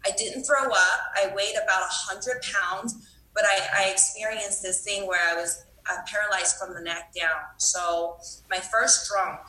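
A woman from Taiwan telling her own story at a recovery meeting, candid and self-deprecating, with plenty of "um" and "you know." Her voice has a bright, forceful edge. The room around her rings with echo. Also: mid-range voice, steady tone, a little slow. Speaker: AA meeting speaker. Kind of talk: personal recovery talk.

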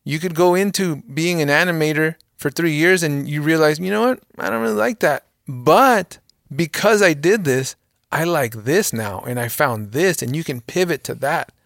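A man's voice: a little high, normal speed, creaky, a bit bright, dry recording, studio quality. A man in his thirties giving earnest, motivational advice in a casual podcast conversation. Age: 30s.

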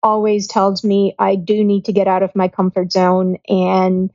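A woman in her thirties from Kenya, a podcast guest speaking in a steady, reflective way. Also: mid-range voice, unhurried, no room sound. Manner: steady, reflective, even-toned. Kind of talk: casual interview chat. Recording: dry, dull lo-fi sound.

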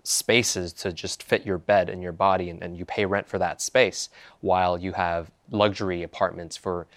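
This is a young man from Hong Kong with a very deep voice. He answers interview questions methodically, building up lists of points in an even, explanatory flow. He sounds like a thoughtful student activist. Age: young adult. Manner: measured, explanatory, listing points one by one. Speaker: activist guest.

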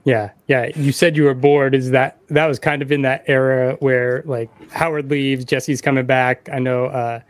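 A man's voice: mid-range voice, level tone, normal speed, hardly any pauses. A man hosts a casual interview in a mid-range voice. He puts his questions informally, as in everyday conversation. The sound is dry, with no room echo. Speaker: podcast host, interviewer.

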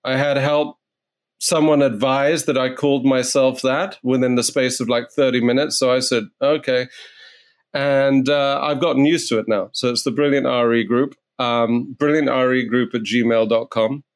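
A man working as a real estate agent, telling stories in a casual interview in a blunt, animated way and swearing freely. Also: medium pitch, normal speed.

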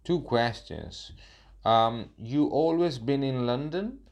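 Halting, slow-speaking male interviewer. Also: mid-range voice, lively intonation, creaky, a little mellow. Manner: hesitant, halting, many fillers. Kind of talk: interview questions.

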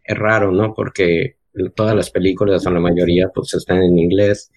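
A man's voice: deep voice, steady tone, normal speed, darkish tone. A Mexican man with a Mexican accent, chatting casually and unhurriedly, often ending his points with a questioning tag. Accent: Mexican Spanish. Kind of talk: casual chat.

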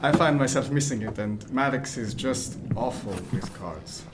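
An actor playing a courteous, dryly witty gentleman aboard a ship in a period radio drama. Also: mid-range voice, moderate pace, noisy background, natural voice. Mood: genial.

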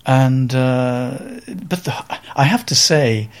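An older Welsh man speaks slowly and reflectively, often pausing with fillers as he searches for the right word. His voice is mid-pitched and plain, and he sounds like a seasoned photographer recounting his own memories. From Wales. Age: around 70. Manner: thoughtful, halting, with many fillers and restarts. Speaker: photographer guest. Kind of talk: reflective interview storytelling.

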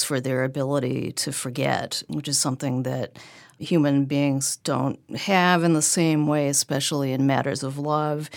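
A woman's voice: deep voice, vocal fry, no room sound, clean studio sound. A woman discussing literature in a reflective, articulate way, feeling out her phrases as she goes. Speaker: poetry magazine editor, podcast panelist.

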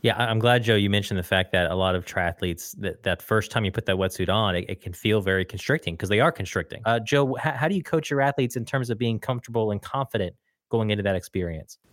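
A man's voice: on the low side, quick pace, a bit bright, no echo, studio quality. A man hosting a podcast, asking his guests long conversational questions. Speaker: podcast host. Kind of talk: interviewing guests.

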